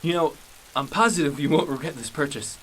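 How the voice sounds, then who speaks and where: A man's voice: a little high, fairly quick, a bit bright, lightly acted, rough recording. A man playing an eager, nervous salesman in a radio drama, hesitant and deferential. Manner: hesitant, ingratiating. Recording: faint hiss and rain.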